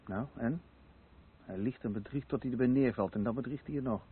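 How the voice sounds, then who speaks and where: A man's voice: medium pitch, a little fast, slightly rough. An actor playing a bitter, sardonic male neighbour in a naturalistic radio play, speaking with scornful emphasis. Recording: tinny narrow-band old radio recording, noisy.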